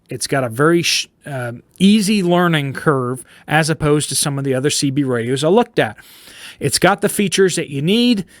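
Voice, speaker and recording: A man's voice: on the high side, no room sound. A man hosting a casual product review, explaining gear to viewers in plain, informal layman's terms. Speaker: host of a prepper radio review channel.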